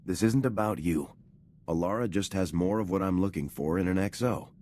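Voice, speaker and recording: A man's voice: deep voice, moderate pace, a little mellow, lightly acted. A man playing a resolute, duty-bound special forces captain in a video game, firm and plain-spoken. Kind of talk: scripted video game dialogue.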